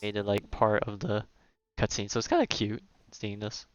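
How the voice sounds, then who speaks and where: A man live-streaming a game, chatting casually with viewers and thinking aloud in halting, repetitive phrases. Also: on the low side, muffled recording. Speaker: game livestreamer. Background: game music and cutscene audio.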